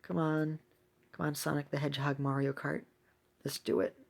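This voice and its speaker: A woman's voice: low-pitched, flat delivery, normal speed, gravelly. A woman giving casual, chatty gameplay commentary with a self-deprecating manner as she hosts a gaming video.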